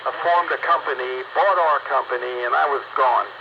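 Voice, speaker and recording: A man's voice: mid-range voice, normal speed. A man telling stories casually over a two-way radio. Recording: dull, noisy two-way radio.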